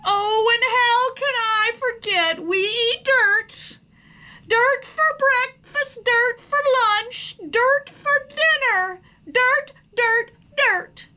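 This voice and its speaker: A woman's voice: very high-pitched, very slow, bright, forceful tone, voice pushed up, heavily acted. A woman voicing a young boy worm in a storybook, whiny, angry and sarcastic, wailing out petulant complaints. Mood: furious, whining.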